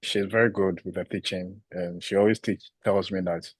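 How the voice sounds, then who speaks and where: A man from Ghana with a Ghanaian English accent, giving measured interview answers about teaching. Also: low-pitched, steady tone, breathy edge, no echo. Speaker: international PhD student and teacher.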